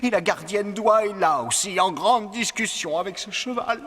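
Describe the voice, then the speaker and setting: Bright, high, fussy cartoon courtier. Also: male voice, moderate pace. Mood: urgent, insistent. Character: fussy, deferential royal courtier. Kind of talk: dubbed cartoon fairy-tale acting. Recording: long reverb, faint music behind.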